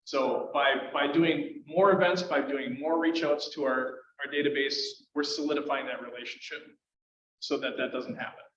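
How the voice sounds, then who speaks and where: A man's voice: medium pitch, steady tone, moderate pace, background noise. A man leading a real estate team, making emphatic points on a panel. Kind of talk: panel discussion. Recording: echoey room, muffled sound.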